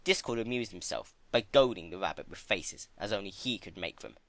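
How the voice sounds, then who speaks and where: A man's voice: on the low side, animated, brisk, a bit bright, dry recording. A man narrating a story as a dramatic scripted reading.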